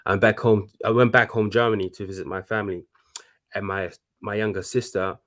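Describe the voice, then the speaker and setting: A man's voice: on the low side, steady tone, brisk, fairly bright tone. A man in his thirties from Congo, a fashion designer talking casually and animatedly as a guest, with lots of restarts. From Congo (DRC). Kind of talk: casual conversation.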